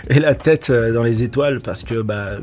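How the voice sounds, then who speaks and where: A man's voice: mid-range voice, unhurried, darkish tone. A middle-aged man from Portugal, an actor, telling stories from film shoots in a casual interview, laughing often and restarting his sentences. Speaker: actor and nightclub security manager.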